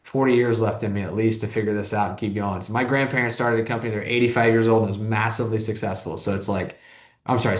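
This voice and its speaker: A man's voice: on the low side, echoey room. A man in his thirties, a business owner talking as an interview guest in a rambling, casual way, full of restarts and "like". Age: 30s. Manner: rambling, heavy use of "like", frequent restarts.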